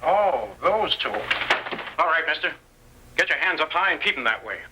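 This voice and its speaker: An actor playing a crusty, suspicious old cabin man in a Western radio drama, with a raspy rural Western American accent and folksy, excitable talk. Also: on the high side, measured pace, slight background noise, full character voice, rough recording. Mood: hostile, scornful.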